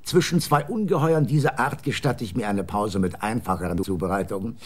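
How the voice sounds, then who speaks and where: An actor playing an aloof, food-loving middle-aged novelist in a German radio drama, pompous and deliberate. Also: male voice, fairly low pitch, slight background noise, lightly acted. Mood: self-satisfied.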